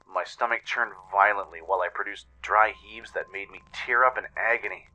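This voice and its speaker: A man's voice: lowish voice, fairly even intonation, normal speed, bright, forceful tone, dull audio. A man narrating a scripted first-person story dramatically, as in an audio drama. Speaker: narrator and voice actor.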